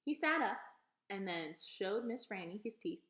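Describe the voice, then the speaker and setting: A woman narrating a children's storybook aloud in an expressive read-aloud style. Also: mid-range voice, measured pace. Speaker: storybook reader. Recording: echoey room.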